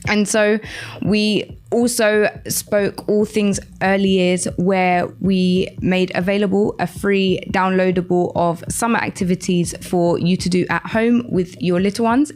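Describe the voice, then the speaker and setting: Lower-pitched woman hosting a radio show. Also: a little mellow. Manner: addresses listeners directly with questions and prompts. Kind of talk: educational radio show presenting.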